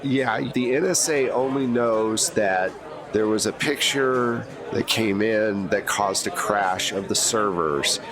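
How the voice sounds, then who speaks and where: A man's voice: fairly low pitch, slow pace, crowd noise. A man running a tabletop spy game as game master, giving dry, teasing asides to his players.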